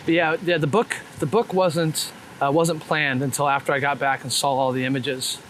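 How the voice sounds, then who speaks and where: Guy with a highish voice, casual sweary street interview, city traffic behind him. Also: little pitch movement, moderate pace, fairly bright tone. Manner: informal, profane, peppered with "like" and "man". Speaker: street photographer being interviewed. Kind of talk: casual, candid interview chat while walking. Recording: clear outdoor traffic and city noise.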